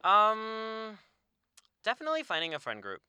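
A young man co-hosting a casual podcast, loose and profane, who says his natural voice runs monotone. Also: high-pitched, moderate pace, clean tone, no echo. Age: young adult. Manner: loose, swears often, calls his usual voice monotone. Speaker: podcast co-host. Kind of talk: casual chat.

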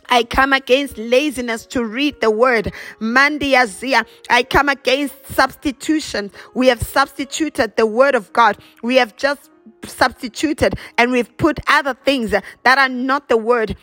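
A woman's voice: sits high, a bit bright. A woman preacher delivering a charismatic prayer sermon, exhorting with repeated emphatic phrases and bursts of chanted glossolalia.

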